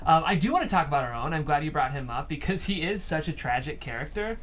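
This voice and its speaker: A man's voice: on the high side, expressive, fast, fairly bright tone. A man hosting a conversational interview in an earnest, articulate and appreciative way. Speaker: interview host. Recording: faint background noise.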